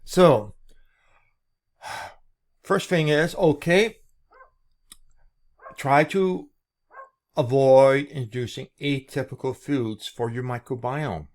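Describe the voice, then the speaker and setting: A man presenting an informal explanatory talk, speaking haltingly in short phrases. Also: medium pitch, a little mellow.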